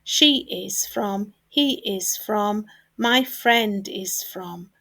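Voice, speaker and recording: Female English tutor, mid-pitched and unhurried, carefully explaining grammar to learners with emphasis on key words. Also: fairly bright tone. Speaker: English teacher. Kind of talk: scripted grammar lesson for learners.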